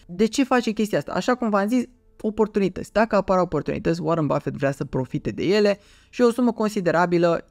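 A man's voice: sits high, gravelly, no echo, studio quality. A man, explaining things casually to camera in a conversational way, with rhetorical questions and repeated words for emphasis. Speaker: finance YouTuber, host. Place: small dim home room, indoors.

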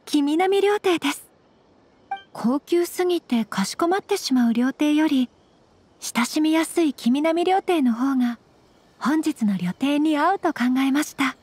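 A woman playing a young noble lady in an anime game, elegant and gentle, with formal, courteous speech. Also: high-pitched, lively intonation, a little slow. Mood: polite.

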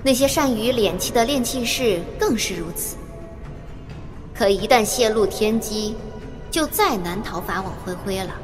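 An actress playing a composed seer reporting omens at a royal court, formal and measured, in an audio drama. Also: female voice, mid-range voice, expressive, lightly acted. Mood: composed, certain. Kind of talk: Chinese audio drama acting. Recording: long reverb, music behind.

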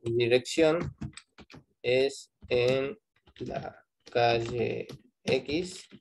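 A man's voice: medium pitch, very slow, creaky, slight background noise. A man with an Argentine Spanish accent teaching a live online programming class, explaining step by step and checking in with "¿sí?" after each point.

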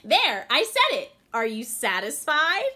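A woman voicing a calm, clever female little deer with a patient, playfully innocent manner. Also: high voice, slow pace, voice pushed up, exaggerated voice.

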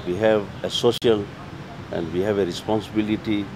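Older guy, kinda low voice, lots of uh's, outdoors with traffic noise. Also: steady tone. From Uganda. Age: 60s. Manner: hesitant, many "uh" fillers, halting phrasing. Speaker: guest. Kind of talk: casual interview. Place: outdoor garden.

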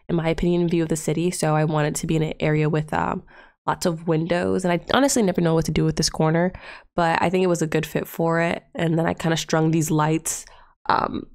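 A young woman with a stuffed-up nose talks through her gameplay in a loose, rambling way, full of fillers and little asides. Her voice sits a touch low, with a gravelly fry at the ends of phrases. She is close to a desktop microphone. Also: darkish tone. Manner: chatty, rambling, with many fillers. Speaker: Let's Play creator. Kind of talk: casual gameplay narration. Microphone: desktop USB mic.

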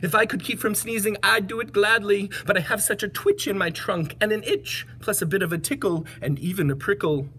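A woman voicing a big, timid, good-natured storybook elephant, apologetic and excitable, speaking in exclamatory rhyme. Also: medium pitch, fairly quick, hardly any pauses, slight background noise, pitched above the performer's own voice, natural voice. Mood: sad, apologetic. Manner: exclamatory, rhyming.

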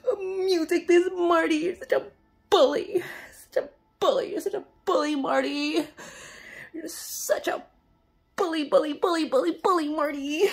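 A woman doing a high, whiny sobbing goblin. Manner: fake sobbing, whining and sniffling. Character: whiny sobbing self-pitying goblin creature. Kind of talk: comedic character monologue to camera.

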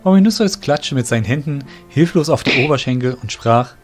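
A man narrates a fantasy audio drama from a script. His voice is medium in pitch, and faint music plays behind him. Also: animated, brisk. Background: soft music.

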